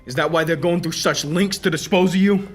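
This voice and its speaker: A man's voice: a little high, fairly even intonation, brisk, lightly acted. A man playing a tough, protective detective hero with terse, hard-boiled delivery in an old-time radio drama. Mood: tense resolve.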